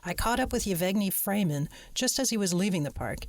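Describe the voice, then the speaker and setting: Measured, dry-sounding radio reporter. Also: medium pitch, lively intonation, no room sound. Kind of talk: scripted narration.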